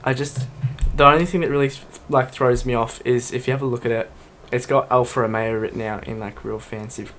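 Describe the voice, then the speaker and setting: A man's voice: medium pitch, steady tone, fairly quick, a bit bright. Casual male podcast co-host, noisy recording. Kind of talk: casual panel chat.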